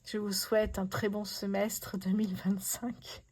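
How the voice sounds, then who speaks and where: A woman gives a tarot reading to her viewers in a casual, personal style. Her delivery is effusive and full of wonder, often repeating her amazement. Her voice sits at a middle pitch for a woman. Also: little pitch movement, unhurried, darkish tone, slightly rough. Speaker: host, tarot card reader.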